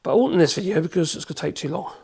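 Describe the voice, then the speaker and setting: A man with a cold, hoarse, chatting in a rambling way as he demonstrates in his workshop. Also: a little high, racing, a little mellow. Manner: rambling, chatty, self-correcting, chuckles. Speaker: woodworking channel host.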